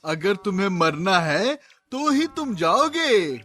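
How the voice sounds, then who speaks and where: A man's voice: high-pitched, sing-song, slow, sharp tone, faint music behind, exaggerated voice. A man playing a scornful, dismissive townsman or official in a comedy cartoon, mocking and emphatic. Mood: scornful warning. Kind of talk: exaggerated cartoon comedy acting.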